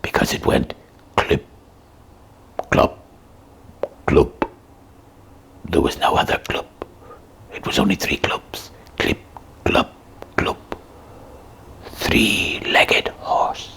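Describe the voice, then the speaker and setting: A woman voicing a gruff, dim, curious sock puppet sidekick in a comic puppet show, with a husky voice and a halting, deadpan, questioning manner. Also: a bass voice, whispered, full character voice. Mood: matter-of-fact. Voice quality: husky, gravelly.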